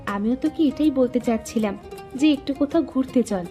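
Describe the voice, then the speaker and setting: A woman playing a bossy, teasing young woman in an animated story, with music in the background. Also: high voice, normal speed, lightly acted. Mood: casual, bossy. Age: young adult. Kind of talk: Bengali animated story dialogue.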